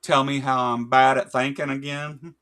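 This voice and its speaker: A man's voice: mid-range voice, flat delivery, normal speed, pushed and bright. A man with a Kentucky accent co-hosting a casual livestream chat, rambling with lots of fillers and jokes. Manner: rambling, filler-heavy, joking. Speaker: podcast co-host.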